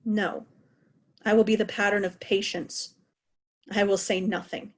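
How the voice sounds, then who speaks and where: A woman playing an infirm, raging old king in slow, declamatory Shakespearean verse. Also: medium pitch, flat delivery, close to an everyday voice. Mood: subdued. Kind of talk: theatrical Shakespearean verse reading.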